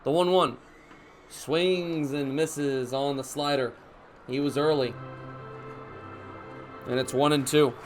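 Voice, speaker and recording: A man's voice: fairly high pitch, takes it slowly, long pauses, faint music behind. A young man calling baseball play-by-play for radio, speaking in short clipped phrases as live commentary. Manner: narrates action in short clipped phrases, building to excited calls.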